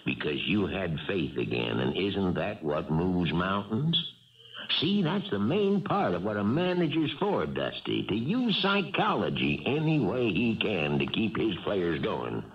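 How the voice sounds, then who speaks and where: A man's voice: sits low, very animated, a little slow, heavily acted. An actor playing a wily, fatherly older baseball manager with a homespun, reassuring manner in a radio drama. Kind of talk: 1970s radio drama acting. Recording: muffled, hissy old radio broadcast.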